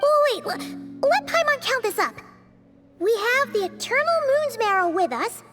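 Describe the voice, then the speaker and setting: A tiny, excitable fairy girl from an anime game. The voice is extremely high, squeaky and childlike, swinging widely as she chatters with open curiosity. Music plays behind her. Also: a little slow, heavily acted. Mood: confused, inquisitive. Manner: informal, talks of herself in third person. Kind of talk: anime-style video game voice acting.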